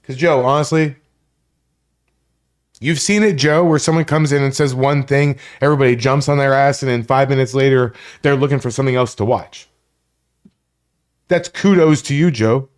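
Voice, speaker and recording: A man's voice: medium pitch, normal speed, pauses often. A man from Florida hosting a casual live stream, rambling, greeting chat viewers by name and swearing freely. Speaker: livestream host.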